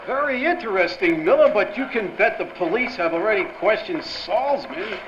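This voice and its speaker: An actor playing a skeptical newspaper boss heard over a telephone in a vintage-style radio drama. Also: male voice, sits high, expressive, moderate pace. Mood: skeptical, brisk. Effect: telephone filter. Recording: muffled, noisy telephone voice.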